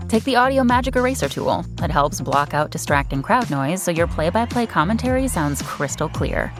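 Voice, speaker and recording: A woman reading scripted advertising copy as an announcer. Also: on the low side. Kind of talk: scripted ad read.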